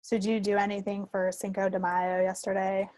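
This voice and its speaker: A woman's voice: mid-range voice, monotone, brisk, darkish tone, no echo. A woman co-hosting a podcast, chatting casually in a playful, wry way. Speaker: podcast co-host. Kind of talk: casual chat.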